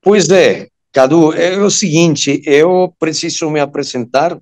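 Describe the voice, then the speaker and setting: A man of fifty-one, a native Spanish speaker with a Venezuelan accent, telling his story as a guest in a halting manner, searching for words. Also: a little high, darkish tone. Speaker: guest, surgeon and amateur radio operator. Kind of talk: personal storytelling in an interview.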